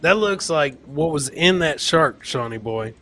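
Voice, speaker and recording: Bright, punchy male podcast host. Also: on the high side, animated, unhurried. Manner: repeats phrases for emphasis. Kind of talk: casual live comedy clip commentary.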